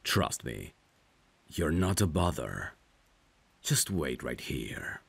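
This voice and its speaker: Very deep, gravelly, tender man. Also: sing-song, slow pace. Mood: soothing, caring. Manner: tender, soothing, intimate. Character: caring, protective male friend secretly in love. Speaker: ASMR roleplay voice actor. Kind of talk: romantic ASMR roleplay acting. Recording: rain ambience behind the voice. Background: rain.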